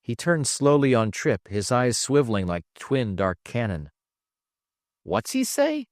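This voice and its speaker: Guy narrating an audiobook story, really expressive and dramatic, pitch moves around a lot, dry sound no room. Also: moderate pace, dry recording. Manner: theatrical, animated storytelling. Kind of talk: expressive scripted narration of fiction.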